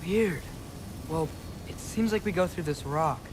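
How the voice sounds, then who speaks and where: A man's voice: a little high, a little slow, close to an everyday voice. A man playing a curious, wary young hero in a video game, speaking in an earnest, questioning and hesitant manner. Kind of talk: cinematic video game acting. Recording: music under the voice.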